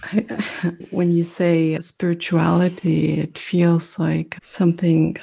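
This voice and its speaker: Slow, gravelly, husky-voiced woman. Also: fairly low pitch, little pitch movement. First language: French. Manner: thoughtful, searching for words, soft pauses. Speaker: mindfulness meditation teacher, guest. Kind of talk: reflective conversational interview.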